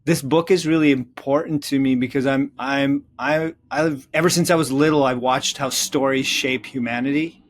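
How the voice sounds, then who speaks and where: A middle-aged man from Czechoslovakia speaking as an interview guest, earnest and reflective, haltingly building lists and rhetorical questions. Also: mid-range voice, steady tone. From Czechoslovakia, moved to North America. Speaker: interview guest, psychotherapist and author.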